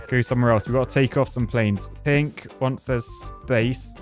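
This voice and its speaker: A British man hosts a gaming video with casual, running commentary. His voice sits at an average pitch, and he talks in a chatty, joking way, reasoning out loud as he plays. Music plays faintly behind him. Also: steady tone, moderate pace. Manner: jokey, chatty, thinking aloud to the planes. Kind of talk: casual live gameplay commentary.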